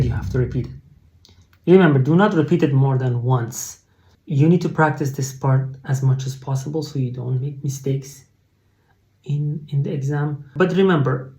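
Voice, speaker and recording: Persian-accented guy tutoring english test prep, mellow darkish voice, explains step by step with lots of uh's. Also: medium pitch. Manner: explanatory, instructive, with frequent fillers and restarts. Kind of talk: online tutorial lesson.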